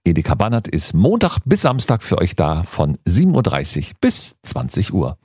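A man's voice: low-pitched, wildly swooping pitch, moderate pace, a little mellow, husky. A man reading a short upbeat sponsor announcement at the start of a radio show. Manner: upbeat, promotional.

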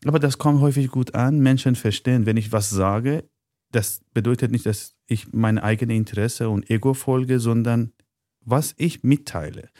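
A man speaking German as a second language, answering interview questions in a halting way with many pauses and fillers. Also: mid-range voice, mellow, dry recording, clean studio sound. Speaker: interview guest. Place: studio, in a room with the host.

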